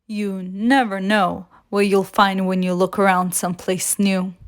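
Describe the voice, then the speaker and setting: A woman voicing a kindly, reassuring yellow truck in a children's storybook, explaining things plainly and gently. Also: mid-range voice, little pitch movement, measured pace, natural voice.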